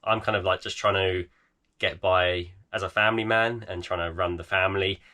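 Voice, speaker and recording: A man in his thirties, croaky and tired, chatting casually in a vlog with lots of fillers. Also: deep voice, fairly even intonation, normal speed, bright, forceful tone. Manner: tired, rambling with many fillers. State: croaky, voice almost gone. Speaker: eBay reseller vlogger. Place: home garage.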